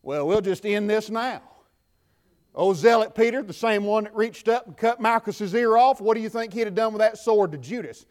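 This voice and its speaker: A man with a Southern US accent preaching a sermon from the pulpit, building to fervent shouts and dropping to quiet talk. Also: high-pitched, normal speed. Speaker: pastor. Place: church.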